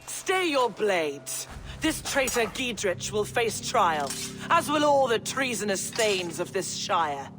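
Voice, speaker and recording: A woman's voice: medium pitch, sing-song, takes it slowly, over music. An actress playing a scornful, foul-mouthed Saxon noblewoman commander in a video game, haughty and venomous. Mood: commanding.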